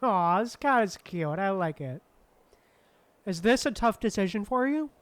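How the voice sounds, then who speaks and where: A woman's voice: medium pitch, animated, measured pace. A woman streaming a game, reading dialogue aloud and reacting in a casual way. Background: game background music.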